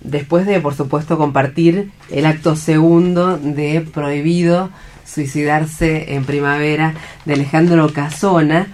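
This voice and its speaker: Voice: mid-range voice, steady tone, unhurried, darkish tone. An Argentine host leading a round-table cultural discussion on the radio.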